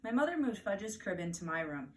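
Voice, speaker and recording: A woman with an American accent reads a children's story aloud as a teacher, animated and deliberate for young listeners. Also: medium pitch. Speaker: teacher reading aloud to first graders. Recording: distant mic, faint background noise.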